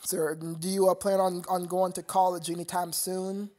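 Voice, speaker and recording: A man's voice: high voice, level tone, moderate pace, a little mellow. A young man chatting casually with viewers on his live stream, rambling and stammering with frequent laughter.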